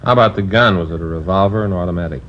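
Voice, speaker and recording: An actor playing a brisk, plain-spoken police detective in a 1950s radio crime drama. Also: male voice, deep voice, close to an everyday voice. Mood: questioning. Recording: dull, noisy old radio transcription.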